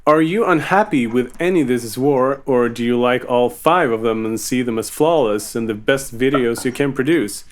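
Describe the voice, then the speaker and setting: A man hosting a casual group Q&A, speaking in a halting, measured way. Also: mid-range voice. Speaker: Q&A host reading fan questions, music video maker. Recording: noisy, sub-par online group chat audio.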